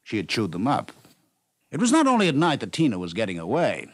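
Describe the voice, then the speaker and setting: A man's voice: lowish voice, wildly swooping pitch, a little fast, husky, no echo, clean studio sound. An American man narrating a scripted 1950s radio story in a wry, polished way.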